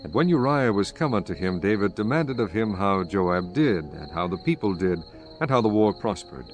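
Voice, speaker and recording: A man narrating scripture from a script in a measured, solemn manner. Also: lowish voice, lively intonation. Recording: music behind the voice.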